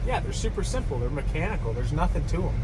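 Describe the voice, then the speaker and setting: A man's voice: fairly high pitch, very animated, quick pace, over a noisy crowd, poor audio. A young man in his twenties joking easily while shopping at an outdoor farm auction, recorded on a handheld phone. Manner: easygoing, joking. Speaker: co-host. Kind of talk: casual vlog chat. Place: outdoors at a muddy equipment auction. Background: loud vehicle and truck noise.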